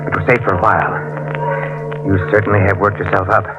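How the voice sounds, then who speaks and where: An actor playing a devilish, coldly gloating killer in a 1950s radio thriller, with a mocking, deliberate, theatrical delivery. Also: male voice, lowish voice, steady tone, close to an everyday voice. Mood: urgent, controlling. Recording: muffled old radio recording, music behind.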